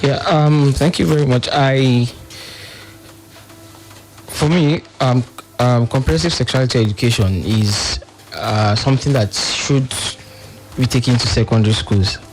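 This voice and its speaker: A man's voice: mid-range voice, unhurried, long pauses, faint music behind, rough recording. A man with a Nigerian accent giving explanations as a radio guest, hesitant and often repeating phrases. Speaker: panel guest, monitoring and evaluation officer. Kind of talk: explanatory radio interview talk.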